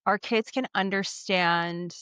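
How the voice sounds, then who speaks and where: A woman in her late twenties hosting a podcast, asking questions in a relaxed way with lots of filler words. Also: mid-range voice, slow pace, smooth, dry recording, clean studio sound. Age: 20s. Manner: relaxed, uses many fillers, supportive. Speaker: podcast host. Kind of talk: casual interview chat.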